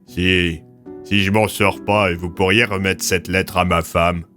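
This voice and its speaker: A man's voice: deep voice, flat delivery, measured pace, sharp tone, faint music behind. A man voicing an earnest, anxious police lieutenant in an audio drama, hesitant and grave. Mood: grave worry.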